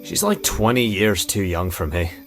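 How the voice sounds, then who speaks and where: A man playing a laid-back, dry-witted male friend in a visual novel, with a casual, deadpan delivery. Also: deep voice, moderate pace, music in the background, lightly acted.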